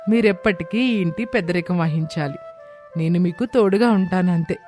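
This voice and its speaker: A high-pitched young woman acted out by a man, devoted and reassuring. The daughter-in-law speaks respectfully and soothingly in a storybook drama. Faint music plays behind. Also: moderate pace, darkish tone, close to an everyday voice. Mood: gentle, consoling. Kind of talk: dramatised Telugu moral story.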